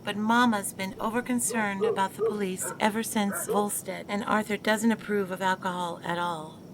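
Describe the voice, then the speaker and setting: A woman's voice: mid-range voice, steady tone, moderate pace, crowd noise, natural voice. An actress playing a proper, married 1920s woman who defends herself and scolds her former lover. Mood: chatty. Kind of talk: 1920s period audio drama.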